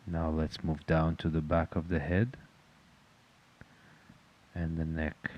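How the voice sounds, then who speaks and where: A man leading a guided meditation in a calm, measured, instructional manner. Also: a bass voice, muffled recording, a little hiss or hum.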